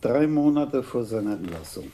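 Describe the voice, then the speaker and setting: A man's voice: mid-range voice, sing-song, normal speed, dark tone, a little hiss or hum, natural voice. A male actor playing a terse, unruffled police commissioner giving orders in a German radio crime drama. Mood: authoritative. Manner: authoritative, terse.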